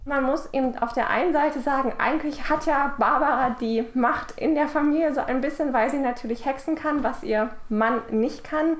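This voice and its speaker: A woman's voice: high-pitched, moderate pace, hardly any pauses, slight background noise. A woman giving explanatory commentary as an expert guest, recorded in a roomy space. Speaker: literary scholar, expert guest. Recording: roomy, echoing recorded clip.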